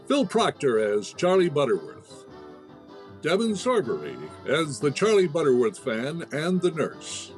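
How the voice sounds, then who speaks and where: A male announcer reads closing credits in a measured, formal radio style. His pitch moves lively up and down, with soft music underneath.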